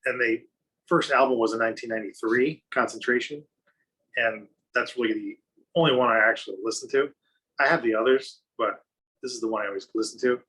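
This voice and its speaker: Man, bright husky voice, rambling podcast panelist, casual. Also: mid-range voice, brisk, rough recording. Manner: rambling, loses his place, chuckles. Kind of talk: casual chat.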